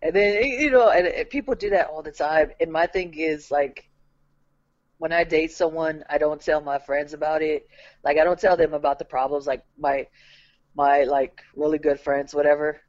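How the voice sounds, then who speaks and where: A man's voice: on the high side, steady tone, normal speed, muffled recording. A man co-hosting a podcast with a stuffy nose from a head cold, talking bluntly and profanely with lots of filler words. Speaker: podcast co-host. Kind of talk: casual podcast chat.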